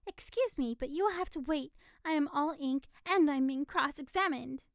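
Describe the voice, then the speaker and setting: A harried young telegraph girl, as a woman reading the story voices her. She speaks higher and louder than the narrator and snaps her short answers with clipped impatience. The recording is close and dry. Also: moderate pace, no echo, lightly acted. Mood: impatient. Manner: tart, impatient replies.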